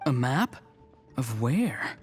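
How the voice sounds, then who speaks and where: An earnest young apprentice. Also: male voice, medium pitch, big pitch swings, extremely slow, slightly rough, full character voice. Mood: agreeable, curious. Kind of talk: video game cutscene acting. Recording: faint music behind the voice.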